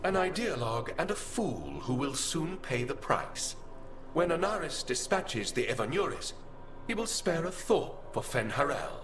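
An actor playing a haughty, grandiose archive spirit in a video game, speaking formally and with condescension. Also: male voice, on the high side, big pitch swings, unhurried, over music. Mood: scornful, grandiose. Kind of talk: video game character dialogue.

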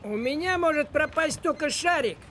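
Grumbling cartoon cat, very high voice, lively, sardonic, thrifty. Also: male voice, normal speed, a little hiss or hum, heavily acted. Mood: nagging. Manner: dry, nagging, sardonic. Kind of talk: animated cartoon acting.

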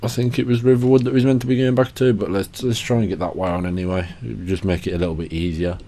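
A young man talks through his playthrough in a loose, sweary, self-mocking way. His voice sits low and dark. It has a slight rasp from a lingering cough, and he sounds worn out. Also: little pitch movement, quick pace. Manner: sweary, chatty, self-deprecating. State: tired and hoarse from a cough. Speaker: gaming channel host. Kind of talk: casual gameplay commentary.

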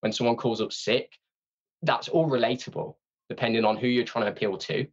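A man being interviewed on a podcast about his sales training company, talking in an energetic, conversational way and restarting his sentences. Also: medium pitch, fairly quick, breathy edge, dull audio. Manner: energetic, conversational, repeats words when restarting.

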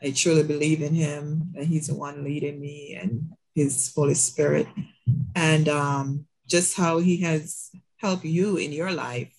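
A woman's voice: deep voice, rough recording. A woman speaking on a video call, giving a rambling, heartfelt comment with many ums. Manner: slow, rambling, with many ums and soft laughs. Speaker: audience member asking a question. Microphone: video call mic.

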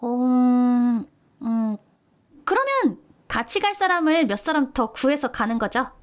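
Woman voicing a cheerful young office junior, teasing, unhurried, audio drama. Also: high-pitched, sing-song. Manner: bright, teasing, eager. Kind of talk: amateur audio drama acting.

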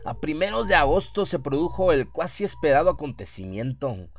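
A man's voice: on the high side, sing-song, fairly quick, music in the background. A man reading scripted horror fiction as the dramatic narrator of a radio play.

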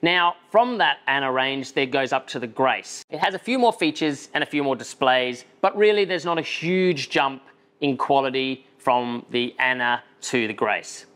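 A man's voice: medium pitch, moderate pace. A man in his thirties with an Australian accent, giving casual, opinionated advice to camera, gesturing as he talks, seated at a table in a small studio set. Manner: animated, talks with his hands, frank opinions. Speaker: coffee reviewer. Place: indoor studio set with shelves and plants.

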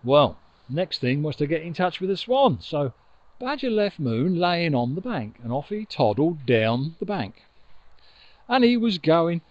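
An older man telling a children's story in an expressive, conversational way, recorded outdoors on a lapel mic. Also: a little high, dry recording, muffled recording. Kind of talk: informal oral storytelling for children. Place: outdoors on a grassy riverbank. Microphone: lapel mic with furry windshield.

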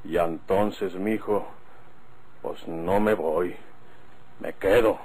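An actor playing a brooding, insistent bandit with a rural northern Mexican accent in an old radio drama. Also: male voice, sits low, fairly even intonation, extremely slow, a little mellow, breathy edge, full character voice. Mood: solemn. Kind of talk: golden-age radio drama acting.

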